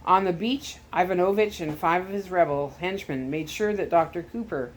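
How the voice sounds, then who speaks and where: A woman reading a storybook aloud as an expressive narrator. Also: fairly low pitch, normal speed, a little hiss or hum, rough recording. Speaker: story reader and host.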